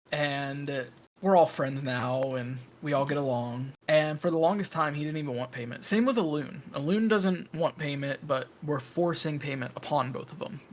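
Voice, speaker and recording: A man with a fairly high voice talks frankly about his community in a casual interview. He is animated and candid, heard over a voice call. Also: a little hiss or hum. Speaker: game community owner.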